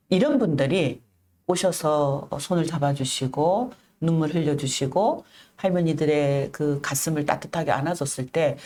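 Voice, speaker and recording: A middle-aged woman speaks in an interview, her voice sitting a little low. She talks in an earnest, measured way, with frequent pauses and careful, deliberate pronunciation. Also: warm tone. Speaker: interview guest, activist. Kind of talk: reflective personal storytelling in an interview.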